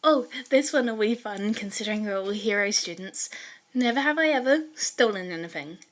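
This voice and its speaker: A woman's voice: mid-range voice, lively intonation, normal speed, lightly acted. A woman voicing a bubbly, scheming teenage girl who is a hero student in a fan fiction story. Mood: playful, probing.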